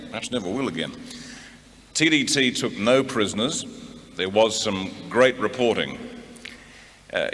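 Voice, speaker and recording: A man with an Australian accent addresses a memorial gathering, introducing tributes. His pitch is on the low side, and the hall adds long reverb around his words. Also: fairly bright tone. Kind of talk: prepared memorial speech and introduction.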